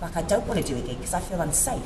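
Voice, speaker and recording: A woman's voice: fairly low pitch, big pitch swings, very fast, over a noisy crowd. A woman speaking emphatically and with passion as a panelist in a group discussion. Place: room at a youth forum event. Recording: echoey room with background noise.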